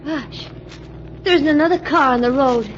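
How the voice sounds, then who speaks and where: An actress playing an anxious, greedy wife turned crook's accomplice, nervous and slangy, in old-time radio drama style. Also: female voice, sits high, normal speed, close to an everyday voice. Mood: worried. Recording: dull, noisy old radio recording.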